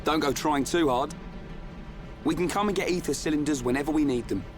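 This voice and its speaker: A man's voice: fairly high pitch, a little fast, noisy background, natural voice. An actor voicing a bluff, confident young male soldier in a video game cutscene, talking bluntly and casually. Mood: matter-of-fact. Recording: music behind the voice. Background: music.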